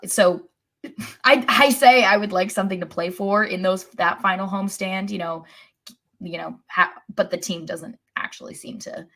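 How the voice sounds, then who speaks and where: A woman's voice: medium pitch, fairly bright tone. A woman co-hosting a casual sports podcast, talking like a wry, resigned fan. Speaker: podcast co-host.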